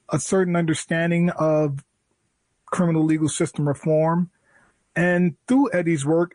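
A man hosting a radio show, speaking earnestly and slowly as he announces a program. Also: fairly high pitch, darkish tone, no room sound. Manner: earnest and slow, with drawn-out words. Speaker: radio host.

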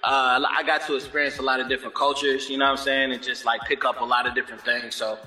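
Bright-voiced, upbeat American man in echoey reverb. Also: medium pitch, little pitch movement, fast talker. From born in Germany, moved around the United States. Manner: upbeat, slangy, often says "you know what I'm saying". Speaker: R&B singer, interview guest. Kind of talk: casual chat. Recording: echoey roomy sound with long reverb, music behind.

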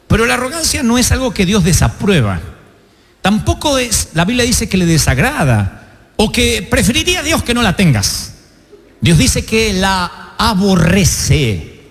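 A man with an Argentine accent, a pastor preaching a sermon with self-mocking stories and slow, emphatic stresses on key words. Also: high-pitched, in a large hall. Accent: Argentine Spanish. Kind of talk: sermon with humorous anecdotes.

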